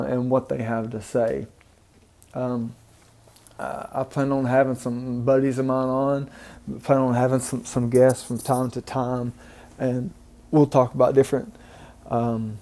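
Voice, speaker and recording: A man's voice: medium pitch, mellow. A man from Mississippi with a Southern accent, rambling through a casual solo podcast with many ums and restarts. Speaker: podcast host. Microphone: home microphone, amateur setup.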